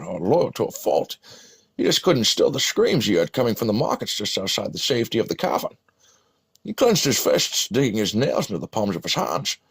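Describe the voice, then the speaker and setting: Gravelly, husky Scottish storyteller. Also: male voice, mid-range voice, exaggerated voice. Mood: rambling, amused. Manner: halting, muttering asides, slips and repeats. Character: parody elderly Scottish screen legend narrating fantasy battle, wryly amused. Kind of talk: mock-epic storytelling for a video game.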